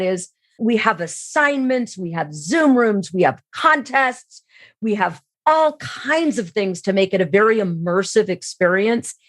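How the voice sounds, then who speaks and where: A woman's voice: medium pitch, dry recording. Woman explaining in conversation, close dry recording. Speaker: podcast guest, sales trainer and keynote speaker. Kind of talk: conversational expert interview.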